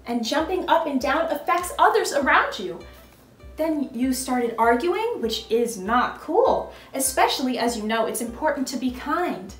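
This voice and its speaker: A woman's voice: high-pitched, very animated, unhurried, roomy sound, soft background music. A woman voicing a sensible male hippo in a children's storybook, firm and explaining. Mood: patiently firm.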